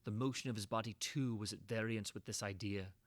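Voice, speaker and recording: A man reading a classic horror tale as a dramatic narration, in a formal, theatrical and measured manner. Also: fairly low pitch, fairly even intonation, dry recording. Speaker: reader of classic horror tales.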